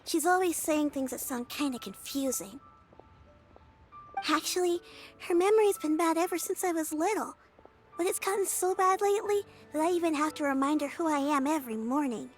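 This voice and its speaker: A woman plays a caring young girl in a video game, with a very high, youthful voice. She speaks politely and earnestly. Quiet game music plays beneath her. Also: moderate pace, close to an everyday voice. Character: caring, cheerful young girl looking after her grandmother. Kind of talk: English video game dub. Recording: game audio with music underneath.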